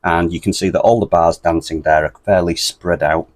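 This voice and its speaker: A British man presenting a step-by-step ham radio tutorial in a deep, bassy voice, steady and methodical with a few ums. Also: normal speed, no room sound. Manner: steady, methodical, explains with fillers.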